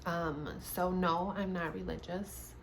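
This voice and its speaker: A chatty woman in her late twenties with a slightly lower voice, taking questions one by one in a relaxed home vlog. She laughs easily and restarts her sentences as she goes. A faint hum of room noise sits behind her. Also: slow pace, gravelly. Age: 20s. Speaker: YouTuber. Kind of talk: casual sit-down Q&A vlog. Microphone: camera mic, seated at home.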